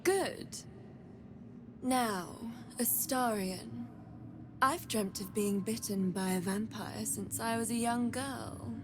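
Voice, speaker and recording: An imperious, morbidly curious female blood trader from a fantasy game. She speaks in a high, silky, measured voice, taking her time, with clear ambient noise behind her. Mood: eager, yearning. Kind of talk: dramatic video game acting.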